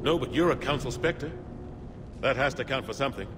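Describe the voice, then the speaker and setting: An actor playing a stern middle-aged admiral in a video game, commanding and urgent in a clipped way. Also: male voice, fairly quick, natural voice. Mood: grim, determined. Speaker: military admiral. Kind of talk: dramatic video game acting. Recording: game audio under loud music. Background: loud score, vehicle rumble.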